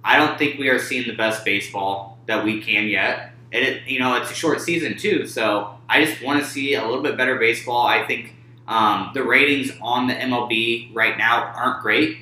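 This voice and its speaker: A man co-hosting a casual sports podcast, talking in a halting way with many pauses. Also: mid-range voice, pushed and bright, roomy sound, low-quality recording. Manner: halting, many fillers and restarts. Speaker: podcast co-host.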